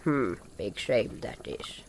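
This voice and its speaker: Slow, singsong amphibian healer. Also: male voice, on the low side, very animated, vocal fry, a little hiss or hum, exaggerated voice. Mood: blunt, calm. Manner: slow, broken simple phrasing. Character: old amphibian healer creature, placid, blunt, absent-minded. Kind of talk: audio drama character acting.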